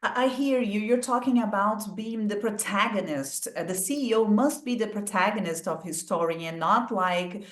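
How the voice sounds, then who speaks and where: A woman's voice: medium pitch, moderate pace, hardly any pauses. A woman hosting an interview, sharing thoughts reflectively and asking questions with hesitant restarts. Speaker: interview host. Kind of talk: conversational interview. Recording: echoey room.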